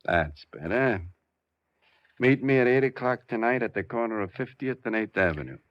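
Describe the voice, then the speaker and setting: An actor playing a sly, menacing escaped bank robber in an old radio crime drama, talking tough and slangy. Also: male voice, medium pitch, lively intonation, moderate pace, no echo, close to an everyday voice. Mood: cool menace. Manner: tough slangy talk, coolly threatening. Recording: muffled vintage radio recording.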